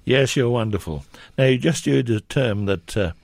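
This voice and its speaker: Gravelly-voiced radio host, dry studio. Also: male voice, medium pitch, animated, a little mellow, no echo. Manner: chatty, friendly questioning, quick laughs. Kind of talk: radio interview.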